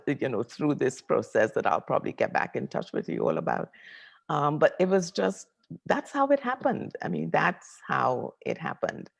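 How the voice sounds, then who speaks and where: An older woman from Trinidad speaks with a notably deep, gravelly voice, full of vocal fry. She tells her story in an interview the way a professor would, unhurried and reflective, often repeating a phrase to let it land. Her delivery is conversational and thoughtful.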